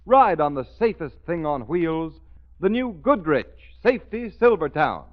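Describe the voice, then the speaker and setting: A man's voice: fairly high pitch, very animated, a little slow. A man announcing in a scripted 1930s radio style, dramatic and emphatic. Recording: muffled old radio recording.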